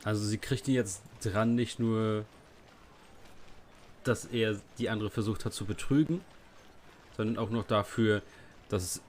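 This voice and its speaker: A man with a German accent giving casual gameplay commentary, muttering and halting as he goes. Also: mid-range voice, a little hiss or hum. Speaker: let's play streamer.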